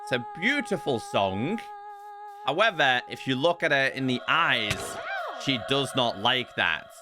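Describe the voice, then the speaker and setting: A man giving game showcase commentary as a video host, in an expressive, emphatic presenter's delivery. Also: medium pitch, a little slow. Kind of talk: game showcase commentary, part scripted narration, part live reaction. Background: music.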